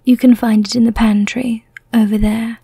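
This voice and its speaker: Lady doing a slow whispery vampire woman, asmr roleplay, mellow and breathy, menacing but kinda merciful, teasing. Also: a little high, little pitch movement, dry recording, studio quality, pitched below the performer's own voice, full character voice. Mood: calm, merciful. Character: seductive, menacing yet merciful female vampire.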